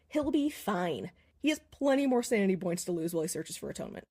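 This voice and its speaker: Женщина, эмоциональный комментарий слушательницы. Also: female voice, medium pitch, big pitch swings, quick pace, warm tone. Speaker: listener sending a voice submission. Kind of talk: emphatic casual fan commentary.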